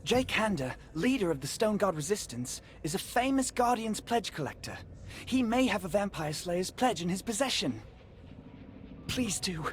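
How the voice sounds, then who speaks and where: A woman's voice: mid-range voice, a little hiss or hum, close to an everyday voice. A woman playing an earnest, anxious magic user in a video game, speaking in a dramatic, explanatory manner. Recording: music under the voice.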